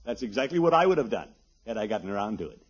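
An actor playing a pompous but good-natured middle-aged American uncle in a blustery 1950s radio comedy style. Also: male voice, fairly low pitch, very animated, racing, breathy edge. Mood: genial. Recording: muffled, noisy 1950s radio recording.

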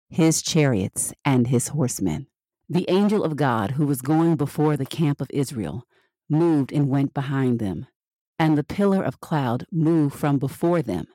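A woman reading scripture as scripted narration for a Bible podcast, measured and expressive with the dialogue. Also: sits low, no echo.

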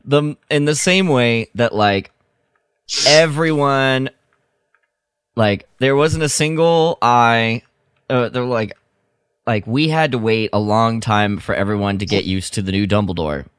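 A man on a podcast panel chatting casually in a halting, wordy way. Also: medium pitch, fairly bright tone, smooth, dry recording, clean studio sound. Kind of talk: casual chat.

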